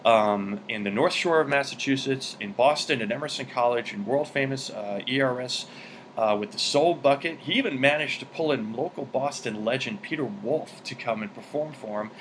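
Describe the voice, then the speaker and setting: A man's voice: medium pitch, normal speed, a bit bright, slight background noise, low-quality recording. A man in his thirties hosting a podcast, introducing and chatting with his guest in an enthusiastic, friendly way. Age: 30s. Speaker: podcast host. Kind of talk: casual interview chat.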